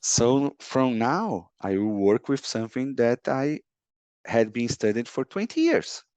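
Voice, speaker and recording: A middle-aged Brazilian man whose first language is Portuguese, speaking English with a Brazilian accent and often pausing to search for words on a video call. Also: mid-range voice, big pitch swings, a little mellow, clear, resonant tone, no room sound, soft background music. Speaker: guest, sports lawyer. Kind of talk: podcast interview.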